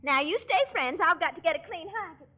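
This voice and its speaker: An actress playing a sweet, coaxing Southern belle with a drawl, in 1940s American radio comedy. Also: female voice, very high-pitched, animated, fast talker, sharp tone, exaggerated voice. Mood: sweetly pleased. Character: sweet, wheedling Southern belle playing peacemaker between suitors. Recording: muffled old 1940s radio broadcast.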